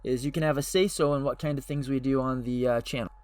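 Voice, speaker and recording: A man hosting his own channel, hoarse and losing his voice, talking casually and unscripted with frequent fillers and restarts. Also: medium pitch, fairly even intonation, fast, darkish tone. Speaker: channel host.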